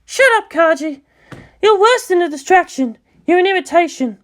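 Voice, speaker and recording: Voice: very high-pitched, animated, normal speed, pitched above the performer's own voice. A narrator voicing an irritable woman combat commander who snaps sharply, in an audiobook. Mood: irritated. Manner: sharp, snapping.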